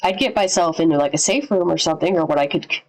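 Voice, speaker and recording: A woman giving casual live reaction commentary as a streamer, informal and sweary as she thinks out loud. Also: lowish voice, fast, low-quality recording. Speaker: streamer reacting to and analysing horror videos.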